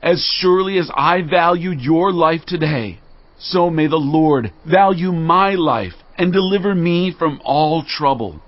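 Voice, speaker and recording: Earnest warrior leader, righteous and declamatory. Higher register, audio Bible drama, slightly dull sound. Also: male voice, animated, unhurried, no room sound. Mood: impassioned pleading. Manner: declamatory, emphatic.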